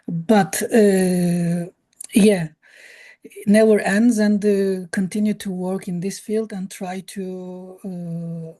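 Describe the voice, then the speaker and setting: A woman's voice: medium pitch, fairly even intonation, slow, dark tone. A middle-aged woman presenting informally in non-native English, hesitant and searching for her words. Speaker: martial arts trainer, youth NGO worker.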